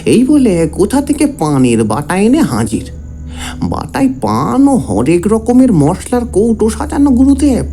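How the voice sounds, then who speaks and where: A man's voice: sits high, mellow, full character voice. An actor playing a young disciple who respectfully recounts events to his guru in an audio drama. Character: young male disciple of a tantric guru, deferential, gossipy storyteller. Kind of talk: dramatised Bengali audio story narration.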